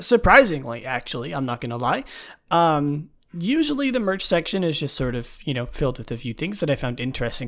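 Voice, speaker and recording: A man hosting a fan podcast with casual news commentary, talking in a halting, rambling way with repeated words. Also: a little high. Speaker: podcast host.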